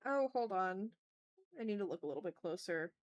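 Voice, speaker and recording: A woman's voice: mid-range voice, animated, a little fast, dull audio. Woman thinking aloud on a casual stream. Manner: informal, mutters to self, mild swearing. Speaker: art livestream host. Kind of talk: casual rambling chat while drawing.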